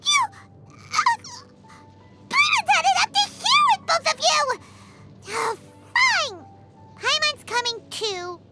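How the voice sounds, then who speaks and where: A squeaky little companion from a video game whines and frets about herself in the third person. Her very high voice swoops and bounces, and she draws her words out slowly. Loud game music plays behind her. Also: very animated, full character voice. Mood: indignant. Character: tiny excitable girlish floating companion.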